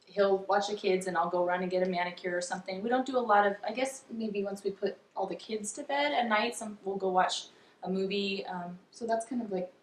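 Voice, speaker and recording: A woman's voice: mid-range voice, steady tone, brisk. A woman in her thirties chatting casually and giggly in a couple's question-and-answer vlog. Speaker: vlogger mother. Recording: roomy indoor echo.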